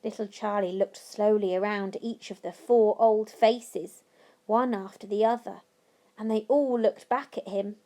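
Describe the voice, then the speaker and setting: A woman reading a children's story aloud as narrator, with expressive, deliberate phrasing. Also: medium pitch. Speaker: story reader.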